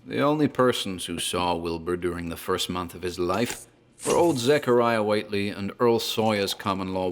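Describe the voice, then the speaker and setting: An actor playing a talkative tavern landlord who tells a grim tale in a deliberate, theatrical horror drama style. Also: male voice, fairly low pitch, natural voice. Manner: deliberate, theatrical storyteller, literary wording. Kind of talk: dramatized horror storytelling, scripted narration.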